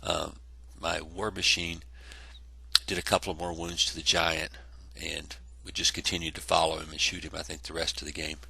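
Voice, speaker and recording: Low gravelly congested man. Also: little pitch movement, moderate pace, bright, forceful tone, no room sound. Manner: rambling, self-correcting, lots of fillers. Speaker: tabletop wargame player narrating a battle report. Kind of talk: casual battle report narration over photos.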